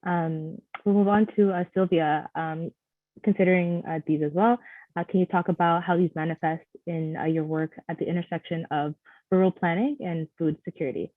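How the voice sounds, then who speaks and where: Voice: mid-range voice, fairly even intonation, moderate pace, no echo. A panel moderator, speaking in an earnest way while thanking speakers and moving the discussion on. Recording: tinny, dry phone-like sound.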